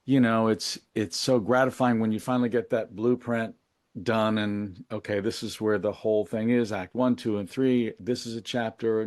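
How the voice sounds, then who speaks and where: A middle-aged man talking reflectively as an interview guest, in a halting, musing, colloquial way about his creative work. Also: lowish voice, no room sound, studio quality. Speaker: interview guest, musician and memoir author.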